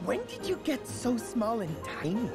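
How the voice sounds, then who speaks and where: A cheerful, innocent cartoon snowman in an animated game. The voice is high and leaps about wildly, with loud music behind it. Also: normal speed, heavily acted. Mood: cheerful, puzzled. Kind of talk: animated game cutscene acting.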